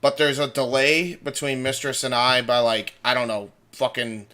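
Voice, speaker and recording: A man's voice: medium pitch, level tone, moderate pace, sharp tone. A man co-hosting a livestream over a voice call, chatting informally and reading out comments with some swearing. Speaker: livestream co-host. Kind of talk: casual chat, reading out viewer comments.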